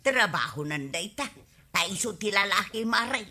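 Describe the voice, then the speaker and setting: Animated, bright-voiced Ilocano village wife. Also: female voice, mid-range voice, normal speed. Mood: breezy. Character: cheerful, showy village wife fond of spending. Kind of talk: Ilocano radio comedy drama acting.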